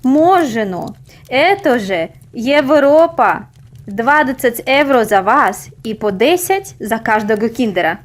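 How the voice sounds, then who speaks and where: A woman's voice: on the high side, animated, takes it slowly. A woman voicing a burly, impatient female ticket checker with a Baltic accent, curt and commanding, in a storybook. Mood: impatient, brusque.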